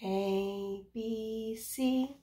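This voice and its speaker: A woman's voice: a little high, steady tone, drawn-out words, dark tone, a little hiss or hum. A woman in her thirties reading a picture book aloud to young children as a teacher, explaining simply and putting questions to her listeners.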